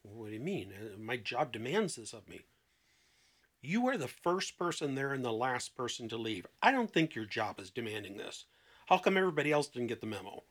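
A man speaking conversationally as a therapist on a podcast panel, blunt and emphatic, with deliberate pauses and rhetorical questions. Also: medium pitch, big pitch swings, fairly bright tone. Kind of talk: conversational podcast talk with acted-out client dialogue.

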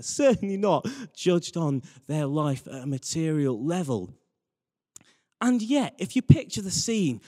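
A man's voice: a little high, warm tone. A man preaching a sermon with personal anecdotes, earnest and repeating phrases for emphasis. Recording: reverberant hall.

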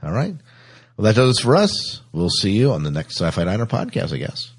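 A man with a low-pitched, slightly rough voice hosts a podcast. He talks casually, in an informal conversational style. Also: sing-song, fairly quick. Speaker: podcast host.

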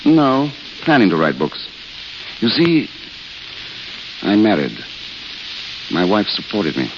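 An actor playing a weary, courteous failed writer who mocks himself wistfully, in old radio drama style. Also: male voice, on the low side, huge pitch swings, slow, heavily acted. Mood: wry, resigned. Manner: courteous, wistful, self-deprecating. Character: weary, self-mocking failed writer, a wandering intellectual. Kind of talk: 1930s-40s radio drama acting. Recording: hissy, crackly, muffled old radio recording.

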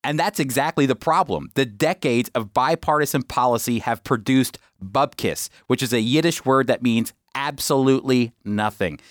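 A man's voice: normal speed, hardly any pauses, no echo. Emphatic male Bible teacher, dry sound. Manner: earnest, emphatic, with pitch climbing on key phrases.

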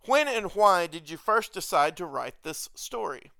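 A male podcast host with a high, bright voice, speaking as an interviewer. His delivery is clear and crisp. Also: sing-song, unhurried, no echo.

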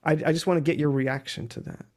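Interviewer asking pointy follow-ups, mid pitch, steady. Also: quick pace. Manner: poses pointed follow-up questions. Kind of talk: interview questioning.

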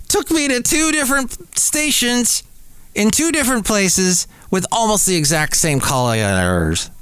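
A man's voice: fairly high pitch, fairly bright tone, smooth, dry recording. A middle-aged man hosting a podcast, rambling casually and playfully interrupting himself. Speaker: podcast host. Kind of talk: casual solo podcast commentary.

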